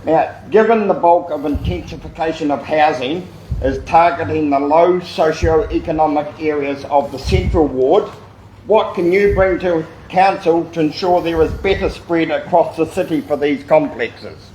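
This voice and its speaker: Loud New man asking a question. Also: a little high, normal speed, roomy sound, slight background noise, poor audio. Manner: loud and forthright, projecting without a mic. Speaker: audience questioner.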